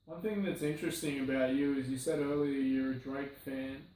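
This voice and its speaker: A man's voice: mid-range voice, steady tone, fairly quick, warm tone. A man interviewing a guest in a casual way, asking long leading questions. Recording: far from the mic in a very echoey room.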